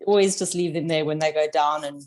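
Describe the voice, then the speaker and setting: A woman's voice: sits low, steady tone, brisk, a little mellow. A woman with a South African accent, a podcast guest earnestly sharing her own investing experience in casual conversation.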